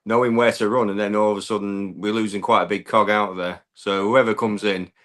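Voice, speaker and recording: Hoarse, low-voiced man. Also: little pitch movement, a little fast, fairly bright tone. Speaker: fan caller on a football call-in show. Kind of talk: casual chat.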